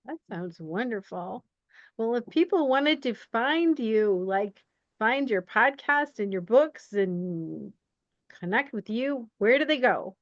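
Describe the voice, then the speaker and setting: A woman's voice: a little high, expressive, takes it slowly, dry recording. A woman hosting a podcast and putting conversational questions to a guest. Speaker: podcast host and interviewer. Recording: dry, dull lo-fi sound.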